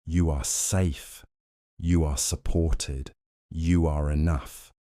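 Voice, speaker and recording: Male sleep meditation guide: very deep, unhurried, soothing and gently instructive. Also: fairly even intonation, dry recording, studio quality. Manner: soothing, gently instructive, short measured phrases.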